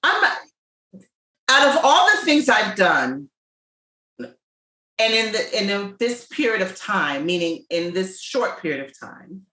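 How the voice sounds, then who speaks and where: A woman's voice: mid-range voice, sing-song, bright, forceful tone, roomy sound. A woman guest in a podcast interview, speaking in an emphatic, motivational way and drawing out her points. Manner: emphatic and motivational, drawn-out delivery. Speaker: interview guest, business owner. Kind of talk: casual interview chat.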